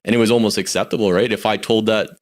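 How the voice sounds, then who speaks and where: A man's voice: fairly low pitch, fairly even intonation, fast. A Canadian man in his forties, a podcast guest, talking candidly and reflectively about his life, often restarting his sentences. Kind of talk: casual personal storytelling.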